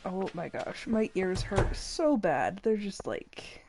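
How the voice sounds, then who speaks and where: A woman's voice: mid-range voice, big pitch swings, slow pace, background noise. A young adult woman streaming a game while congested and a little sick, chatting casually and apologizing for her voice. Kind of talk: casual gameplay commentary. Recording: game music behind voice.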